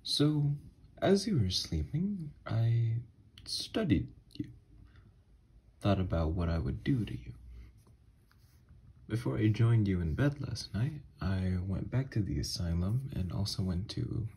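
A man voicing an obsessed, unhinged kidnapper scientist in an ASMR horror roleplay, soft and breathy, coaxing gently with quiet menace. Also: fairly low pitch, expressive, takes it slowly, long pauses, exaggerated voice. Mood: eerily calm. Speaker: ASMR audio roleplay voice actor. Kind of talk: ASMR horror roleplay monologue, partly whispered.

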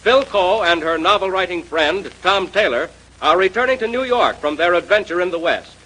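A man's voice: high voice, animated, pushed and bright, poor audio. A man announcing from a script in an emphatic 1930s radio style. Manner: emphatic, drawn-out key phrases.

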